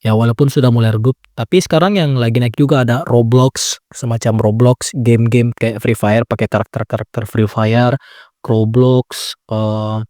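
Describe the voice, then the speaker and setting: Young guy explaining an editing tutorial, chill and chatty, kinda mellow voice, dry close sound. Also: medium pitch, steady tone, moderate pace, no room sound. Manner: laid-back, chatty, with frequent filler tags. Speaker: tutorial creator. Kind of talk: casual screen-recording tutorial.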